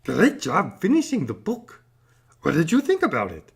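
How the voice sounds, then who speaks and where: A children's video host with a husky, creaky voice and a lively, swinging intonation. The talk is simple chat aimed at young viewers. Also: medium pitch, moderate pace.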